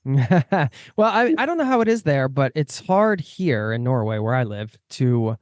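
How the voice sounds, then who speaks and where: A man's voice: medium pitch, very animated, a little slow, dry recording, clean studio sound. A man from the United States hosting a travel podcast, chatting casually and laughing often. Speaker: podcast host. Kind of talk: casual interview chat.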